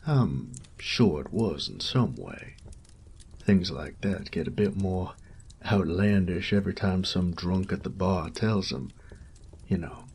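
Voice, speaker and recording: A man voicing a gruff, modest commoner swordsman in a fantasy audio roleplay, with plain folksy grammar. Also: low-pitched, darkish tone, exaggerated voice. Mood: dismissive.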